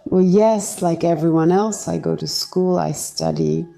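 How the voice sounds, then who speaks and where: A woman raised in Belgium, speaking as an interview guest in a calm, matter-of-fact way. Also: lowish voice, a little slow, gravelly, soft background music. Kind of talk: personal interview.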